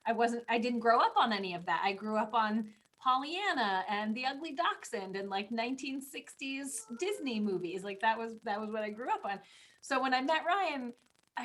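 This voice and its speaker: A woman co-hosting a casual podcast chat, reminiscing and telling stories in an animated way. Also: fairly high pitch. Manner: animated storytelling with acted-out quotes. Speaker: podcast co-host.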